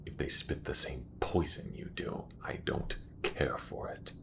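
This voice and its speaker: A man playing a proud, bitter old imperial commander near death in a sci-fi audio drama, with a grave, grandiose, measured delivery. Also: steady tone, bright, forceful tone, in a whisper, exaggerated voice. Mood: resigned, weary. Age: older. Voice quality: very deep, gravelly.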